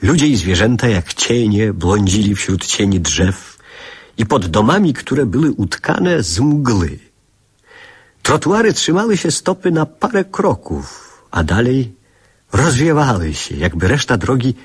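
Older man, radio play narrator, nostalgic, lyrical, lively intonation, unhurried pace. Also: mid-range voice, breathy edge, full character voice. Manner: dramatic, lyrical delivery. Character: nostalgic grown man recalling his boyhood, lyrical and reflective. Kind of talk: radio drama literary narration.

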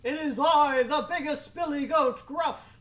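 A woman voicing the biggest male billy goat, bold, loud and firm, in a children's story. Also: high voice, a little slow, voice pushed up. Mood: defiant.

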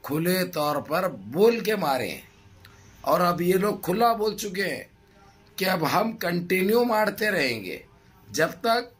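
A middle-aged man with a hoarse throat gives impassioned political commentary to his viewers in emphatic, repetitive, rhetorical phrases. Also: a little high, a bit bright. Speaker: news commentary vlogger. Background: background music.

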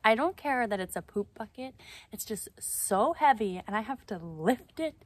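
A woman in her thirties with a highish voice talks in a casual, candid vlog style. Her wording is informal and a little playful, like a mother chatting at home. The handheld phone recording has clear background noise. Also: lively intonation, normal speed. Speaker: vlogger and mother. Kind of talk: casual family vlog chat. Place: varies: car, small home, outdoor yard. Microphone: phone at arm's length or propped nearby.

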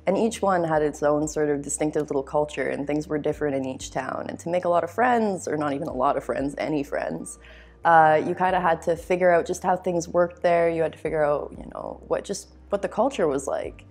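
Low-voiced young woman, casual. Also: fairly quick, a little mellow, faint music behind. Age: young adult. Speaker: interviewee. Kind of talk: casual personal interview. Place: meeting room.

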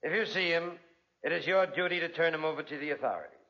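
Sharp-voiced, shrewd country sheriff. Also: male voice, on the high side, fast. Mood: grave, insistent. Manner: knowing, pointed. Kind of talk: 1940s radio drama acting. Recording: dull, band-limited 1940s radio recording.

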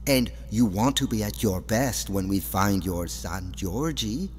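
A man's voice: on the low side, lively intonation, unhurried, a little mellow. An actor playing a creepy villainous man in an audio drama, coaxing and condescending. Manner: smooth-talking, condescending, coaxing. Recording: long echoing reverb, background hum.

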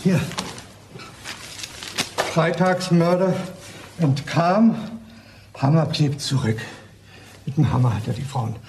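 A man's voice: on the high side, lively intonation, slow pace, long pauses, a little mellow, soft background music. An actor playing a chatty, opinionated man who relishes crime news, in a radio play. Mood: sensational relish. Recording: noisy radio play recording.

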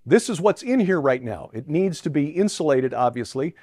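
A middle-aged man hosting a ministry program, giving a relaxed spoken tour with frequent fillers, recorded on a lapel mic in a large pole barn. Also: on the high side, normal speed, no room sound, clean studio sound. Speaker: ministry host. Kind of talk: casual walkthrough talk to camera. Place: inside a large metal pole barn and outdoors beside it.

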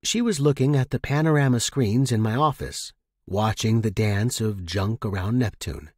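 A man with a husky voice reading a first-person fiction story as a scripted narration. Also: on the low side, normal speed, darkish tone, no echo, studio quality.

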